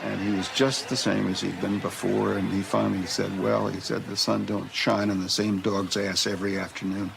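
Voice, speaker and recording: A man with a gravelly voice telling reflective stories about baseball players he remembers. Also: little pitch movement, normal speed, few pauses. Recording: clearly noisy background rumble.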